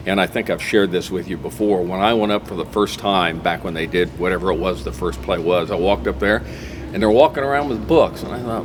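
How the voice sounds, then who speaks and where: Low-voiced American man hosting, some noise behind. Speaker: talk show host. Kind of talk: casual conversational interview. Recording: clear background noise.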